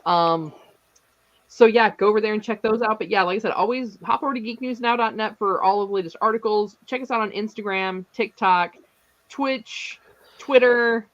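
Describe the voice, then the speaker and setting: A woman's voice: mid-range voice, moderate pace, a bit bright, lo-fi sound. A woman co-hosting a casual podcast, chatty and loose in delivery. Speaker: podcast co-host. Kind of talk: casual chat.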